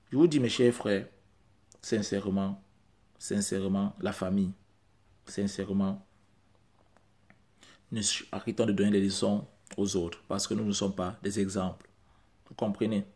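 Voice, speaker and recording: Man, lowish pitch, non-native french speaker, emphatic monologue, repetitive, insistent. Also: darkish tone. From Central Africa. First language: Cameroonian language. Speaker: vlogger.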